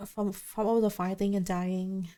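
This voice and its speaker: A woman's voice: mid-range voice, little pitch movement, measured pace, warm tone. A woman game streamer giving casual live reactions as she plays. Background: game music.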